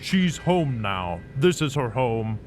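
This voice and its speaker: A man's voice: mid-range voice, very animated, takes it slowly, soft background music. A man playing a genteel, sinister doctor with a patronizing, unctuous manner in an audio story. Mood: ominous. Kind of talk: scripted audio fiction villain acting.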